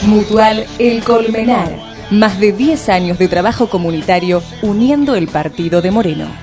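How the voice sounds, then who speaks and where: A female voice reads institutional radio ads in a formal, deliberate way at a mid pitch. Clear music plays underneath. The delivery stays steady and official throughout. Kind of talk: scripted radio ad reading. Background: music bed.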